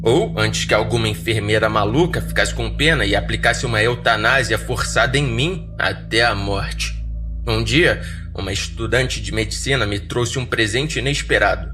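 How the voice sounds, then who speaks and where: A man's voice: lowish voice, moderate pace. A man with a Brazilian accent reading scripted first-person horror fiction as a podcast narrator. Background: music bed.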